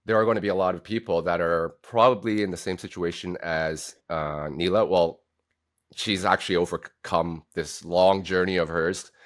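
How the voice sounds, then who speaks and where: A man's voice: low-pitched, steady tone, normal speed. A man hosting a casual podcast interview in an encouraging way. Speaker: podcast host.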